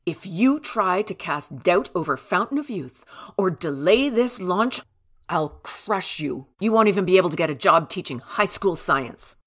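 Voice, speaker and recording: A woman's voice: lowish voice, lively intonation, moderate pace, a bit bright. A woman playing a slick, ruthless female CEO villain in an audio drama, with a cool, imperious, dismissive manner. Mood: threatening. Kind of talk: fiction podcast audio drama acting.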